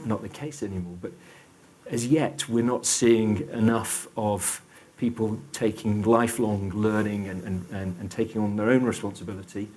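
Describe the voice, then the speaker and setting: A man with a fairly low voice gives his view on a discussion panel. He pauses often, restarts his sentences and fills the gaps with small sounds. A faint hiss runs under the recording. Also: a little mellow. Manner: hesitant, many fillers and restarts.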